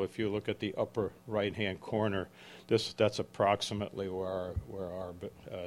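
A man in his seventies, from the Czech Republic, giving a book talk to a live audience in a halting way with frequent restarts and self-corrections. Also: lowish voice. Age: 70s. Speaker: author. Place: event room with an audience.

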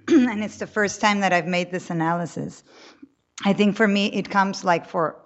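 Animated woman from Colombia. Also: mid-range voice, normal speed, dull audio. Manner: animated, talkative, frequent restarts. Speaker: novelist on a panel. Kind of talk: panel talk to an audience. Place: room with a live audience.

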